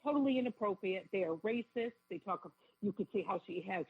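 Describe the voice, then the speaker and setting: A woman with a cold and a raspy voice, calling in as a radio guest and speaking fervently and quickly. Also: mid-range voice, animated, no echo. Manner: fervent, rapid, repeats herself. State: has a cold. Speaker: caller guest. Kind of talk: phone-in talk radio interview. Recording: tinny phone line.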